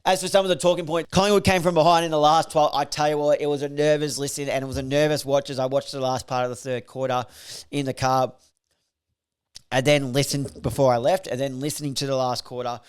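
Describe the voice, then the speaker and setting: A man, slightly croaky from a recovering voice, hosting a casual solo podcast and reeling off football stats with lots of fillers. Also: mid-range voice, little pitch movement, fairly quick. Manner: rambling, filler-heavy, reels off stats. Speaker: podcast host reviewing football.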